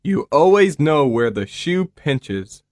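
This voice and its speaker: A man's voice: a little high, sing-song, a little mellow, dry recording, studio quality. A man voicing a patient with a cold who speaks hesitantly in a scripted listening dialogue. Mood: unwell. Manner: slow, hesitant speech. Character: male patient with a cold, unwell.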